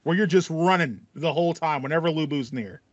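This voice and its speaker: Guy on a podcast chatting games, bit high voice, keen, slightly muffled dry mic. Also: a little fast, no room sound. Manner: enthusiastic, repeats phrases for emphasis. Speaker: podcast co-host. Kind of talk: casual chat about video games. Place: small home room with foam panels, indoors. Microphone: headset on a video call.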